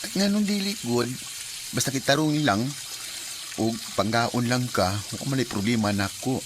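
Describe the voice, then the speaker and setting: A man acting a devoted, gentle young son, noisy audio. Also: medium pitch, moderate pace, close to an everyday voice. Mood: eager, supportive. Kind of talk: Bisaya radio drama acting. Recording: clearly noisy radio drama with background ambience.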